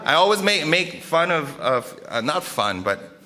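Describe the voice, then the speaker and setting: A male pastor speaks to his congregation in a bright, forceful voice that sits a little high. He preaches casually through stories, animated and conversational, circling back and repeating phrases as he goes. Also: unhurried. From Korea and South America. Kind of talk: casual storytelling sermon to a live congregation.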